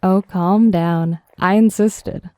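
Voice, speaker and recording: A young woman playing a tough, sardonic zombie killer in an audio drama, with a deadpan, teasing, brisk delivery. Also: mid-range voice, dry recording, natural voice. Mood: matter-of-fact. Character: tough, sardonic twenty-five-year-old woman, cocky zombie killer. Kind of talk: audio drama acting, casual dialogue.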